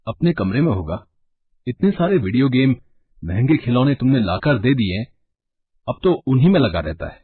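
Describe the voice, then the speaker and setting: Husky, worried husband played by a man. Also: medium pitch, sing-song, normal speed, phone-quality sound. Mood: disapproving. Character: worried, cautious husband and father. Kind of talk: cartoon story dialogue.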